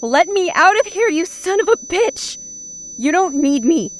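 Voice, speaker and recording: Actress as high-pitched, terrified, defiant mother. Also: big pitch swings, unhurried, slight background noise, exaggerated voice, low-quality recording. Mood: angry defiance. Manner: desperate, emotional, pleading. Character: terrified, defiant mother trapped in a haunted house. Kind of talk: horror audio drama acting.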